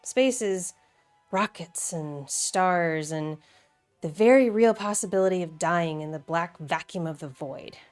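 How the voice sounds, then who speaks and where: An American woman presents a video essay in a voice a little lower than most. Her delivery is conversational and playful, full of casual asides. Soft music plays faintly behind her. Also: measured pace.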